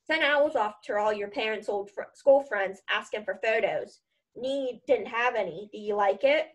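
A woman voicing a huge, bearded, sentimental man in a storybook, blunt and emotional in his rough talk. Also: on the high side, normal speed, lightly acted, rough recording. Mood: subdued, sentimental.

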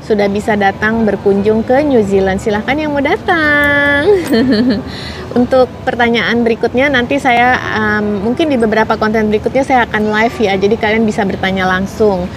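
A woman's voice: a little high, normal speed. A woman in her forties from Indonesia, chatting casually to viewers on a city street and answering their comments, with many fillers, through a lapel mic. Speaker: vlogger. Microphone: clip-on lapel mic. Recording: outdoor street noise behind.

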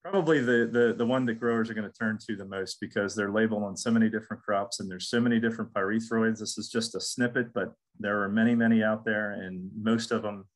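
A middle-aged man from the United States giving a casual webinar lecture on crop pests, repeating words and restarting often. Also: lowish voice. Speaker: extension entomologist. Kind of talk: recorded webinar lecture with slides.

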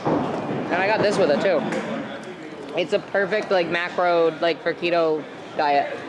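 A chatty man with a high, bright-sounding voice talks excitedly into a handheld camera. A big indoor sports hall surrounds him, and a loud crowd rumbles behind every word. The recording is casual and close, with camera-mic roughness. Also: unhurried. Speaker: vlogger. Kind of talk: casual chat. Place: large indoor sports hall at a wrestling show.

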